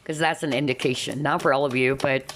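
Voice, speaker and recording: Low-voiced tarot reader, a bit nasal. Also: female voice, fairly even intonation, brisk, a bit bright, a little hiss or hum. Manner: chatty, with many fillers and asides to viewers. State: slightly nasal, losing her voice. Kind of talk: casual pick-a-card tarot reading.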